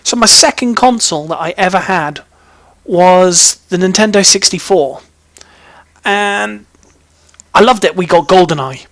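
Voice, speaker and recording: High-voiced young English man, chatting casually. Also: a little slow, pauses often, a bit bright, dry recording. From British, raised in army family in Germany, Hong Kong and England. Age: young adult. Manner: rambling, self-interrupting, playful. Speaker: vlog host. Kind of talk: casual chatty personal storytelling to camera.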